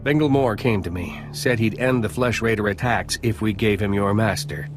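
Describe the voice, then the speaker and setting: A man plays a desperate alien villager turned betrayer. His fairly low voice is urgent and hard-edged, with game music clearly behind it. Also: normal speed, natural voice. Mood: grim, desperate. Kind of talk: video game cutscene acting.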